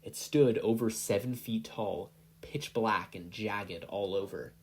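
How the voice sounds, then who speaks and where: Male story narrator, husky and fairly low, reading scripted fiction. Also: takes it slowly. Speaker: podfic narrator.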